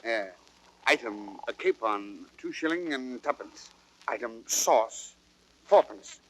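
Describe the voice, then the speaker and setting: An actor playing a prince's wry attendant in old radio Shakespeare style. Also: male voice, mid-range voice, animated, takes it slowly, a bit bright, poor audio. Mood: dry amusement. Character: prince's wry attendant reading out a tavern bill. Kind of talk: 1930s radio Shakespeare acting.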